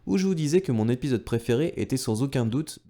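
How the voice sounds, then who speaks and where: A male host narrates a retro gaming video with enthusiasm, talking straight to his viewers. His voice is warm and very lively in pitch, over faint background music. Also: quick pace. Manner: enthusiastic, addresses viewers directly. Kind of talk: scripted retro gaming narration with casual asides.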